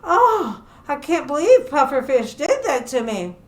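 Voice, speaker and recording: Woman voicing a high-pitched lonely friendly young shark. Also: very animated, unhurried. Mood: hurt, whining. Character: friendly, lonely male cartoon shark in a storybook.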